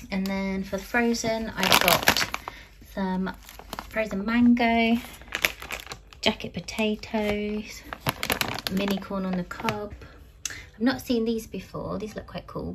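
A woman's voice: medium pitch, a little hiss or hum, low-quality recording. A British woman in her thirties chatting casually at home about her weekly grocery shopping, with frequent ums and "so yeah". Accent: British English. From United Kingdom. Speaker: vlogger. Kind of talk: casual grocery haul chat. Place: home kitchen, indoors.

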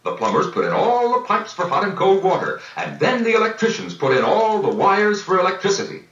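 Male children's-record storyteller, theatrical, higher-pitched, roomy vintage sound. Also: brisk, creaky. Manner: animated, theatrical. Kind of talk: dramatic scripted children's storytelling. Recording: dull vintage record, roomy and distant, music behind.

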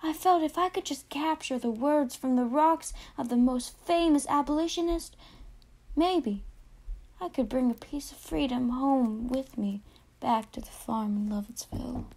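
Eager, wide-eyed old-time farm girl, played by a woman, high voice, for a storytelling piece. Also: unhurried, a little mellow. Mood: dreamy wonder. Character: excited little farm girl of long ago. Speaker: student performer. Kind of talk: dramatised oral-history monologue.

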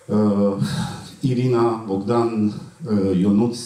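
A middle-aged man telling anecdotes to an audience in a halting way, often clearing his throat, on a festival stage. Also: lowish voice, level tone, warm tone. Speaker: film actor at festival Q&A. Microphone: handheld mic, heard from far camera. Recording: echoey hall, distant camera pickup.

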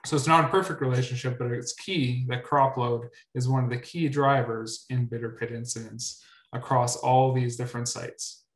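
A man's voice: mid-range voice, steady tone, moderate pace. A young man presenting research on a video call, repeating words often with many ums. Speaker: researcher presenting at a grower meetup. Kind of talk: webinar presentation. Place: indoor room. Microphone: webcam on video call. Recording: roomy echo.

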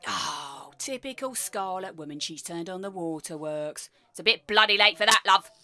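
An actress playing a bitter, snobbish middle-aged wife in an audio play, sarcastic and scornful. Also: female voice, on the low side, animated, normal speed, sharp tone.

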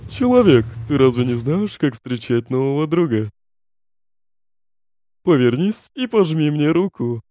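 A man's voice: fairly high pitch, very animated, measured pace, long pauses, dark tone, no room sound. A man voicing a laid-back, weary skeleton big brother in a comic dub, joking, secretive and casual in his slangy talk. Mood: knowing, casual. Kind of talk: comic dub voice acting with narration.

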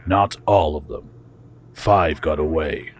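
A man's voice: a bass voice, takes it slowly, exaggerated voice. An actor playing a cautious, stern male associate of a villain in an audio drama, curt and sober.

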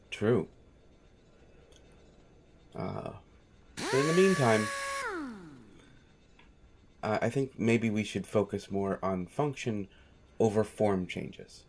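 Audio roleplay: devoted, wry manservant played by a man, slow delivery with very animated intonation, courteous and patient. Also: lowish voice, full character voice. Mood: patient, hesitant. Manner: polite, dry, hesitant. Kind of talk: scripted audio roleplay, speaking directly to listener.

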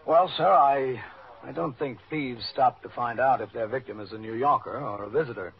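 An actor playing a calm, methodical New York police captain in an old radio drama, speaking in a measured, formal way. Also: male voice, mid-range voice, vocal fry, natural voice. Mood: politely patient. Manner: measured, formal, matter-of-fact. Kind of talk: 1950s radio drama acting, procedural narration. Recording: muffled, noisy old radio recording.

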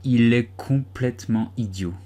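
A man's voice: medium pitch, a little slow, warm tone, lightly acted. A man reads the part of a polite little girl in a children's tale. The girl is timid yet earnest and curious, speaking hesitantly and exclaiming anxiously. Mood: exasperated.

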